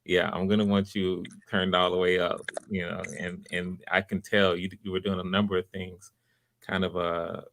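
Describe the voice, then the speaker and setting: Male audition host, fairly low pitch, casual and encouraging, talking conversationally on a livestream. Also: little pitch movement, normal speed, fairly bright tone. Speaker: host and casting director of live auditions. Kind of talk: casual livestream feedback and hosting. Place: live video call stream.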